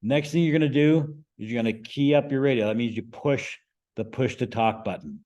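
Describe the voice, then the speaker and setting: A man's voice: mid-range voice, brisk, darkish tone. An American man giving an informal instructional presentation to a group, conversational, often checking in with short questions. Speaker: presenter, amateur radio instructor.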